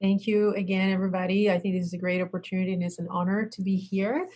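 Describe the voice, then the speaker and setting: A woman child neurologist from Puerto Rico, introducing herself as a guest with gentle humor. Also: medium pitch, level tone, fast, low-quality recording.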